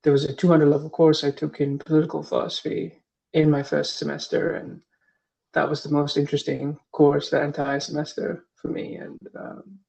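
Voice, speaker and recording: A man's voice: on the high side, completely flat delivery, normal speed, vocal fry, darkish tone, rough recording. A man in his thirties, from Singapore, answering interview questions as a guest professor, hesitant, with many fillers and restarts.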